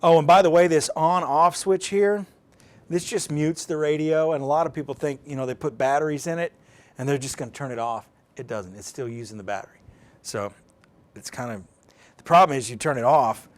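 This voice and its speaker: An American man giving an informal live demonstration, explaining off the cuff in a folksy, conversational way and thinking aloud as he goes. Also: fairly high pitch. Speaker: presenter of a weather radio programming demonstration.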